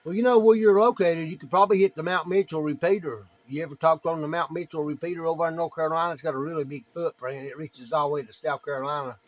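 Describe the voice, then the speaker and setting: A man with a slightly high voice makes casual ham radio small talk. He is plainspoken and tends to say things over again as he talks shop with other operators. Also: fairly even intonation, fast, hardly any pauses, rough recording. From United States. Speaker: amateur radio operator, video maker.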